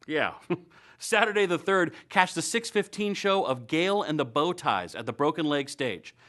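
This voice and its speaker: A man's voice: on the high side, a bit bright. An American man in his thirties presenting a scripted show to camera with wry, playful asides. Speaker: web series host. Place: indoor studio.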